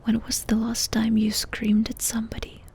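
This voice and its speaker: A woman doing ASMR in a breathy whisper, softly and intimately asking questions with pauses between them. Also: fairly high pitch, completely flat delivery. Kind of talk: whispered ASMR personal-attention roleplay.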